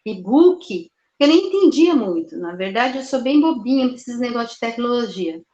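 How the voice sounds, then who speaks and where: An older woman author answers interview questions in a thoughtful, halting way, often restarting words and adding "né". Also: on the high side, animated, darkish tone, lo-fi sound. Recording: roomy echo.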